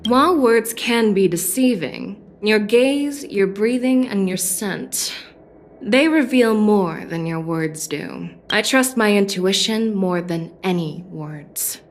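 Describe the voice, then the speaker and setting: A woman's voice: mid-range voice, slow, soft background music, close to an everyday voice. An actress playing a composed, decisive female general in a video game. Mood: measured, probing. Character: composed, decisive female general who trusts her instincts. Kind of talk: English game voice acting.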